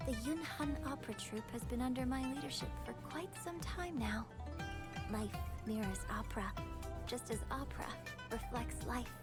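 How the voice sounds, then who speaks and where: An actress playing a refined young leader of an opera troupe in a game, polite, poised and formal. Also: female voice, on the high side, normal speed, over loud music, close to an everyday voice. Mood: gracious. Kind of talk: scripted game character introduction.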